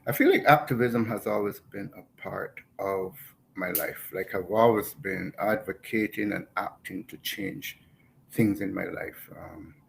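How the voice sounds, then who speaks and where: A man, giving thoughtful, measured personal testimony as an activist on a panel. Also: low voice, vocal fry, low-quality recording. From Barbados. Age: 70s.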